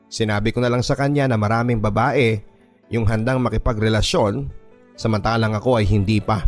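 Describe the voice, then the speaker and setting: A man with a Filipino accent reading a first-person story as dramatic scripted narration for a radio drama. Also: mid-range voice. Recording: music bed under the voice. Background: background music.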